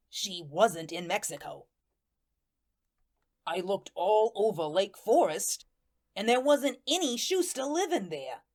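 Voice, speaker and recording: Slow, folksy ghost storyteller, acted by a woman. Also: medium pitch. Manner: folksy, colloquial, deliberate storytelling. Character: Gus, plainspoken vengeful ghost narrating his tale. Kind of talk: first-person audio drama narration.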